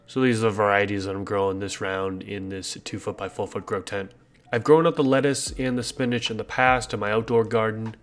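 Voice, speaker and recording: A man with a congested voice, chatting casually and upbeat as he hosts a gardening video and asks viewers for feedback. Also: on the low side. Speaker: gardening channel host.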